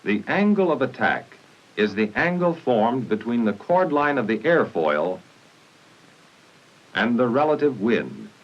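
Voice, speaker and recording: An American man reading formal, instructional narration in a measured way for a 1950s military training film, on a muffled, noisy old soundtrack. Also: mid-range voice, big pitch swings.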